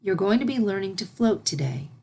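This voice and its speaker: A woman voicing a gentle, regal pony princess in a story reading, speaking as a patient and reassuring mentor. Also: mid-range voice, lively intonation, a little fast, husky. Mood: patient, instructive. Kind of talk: animated fan fiction character reading.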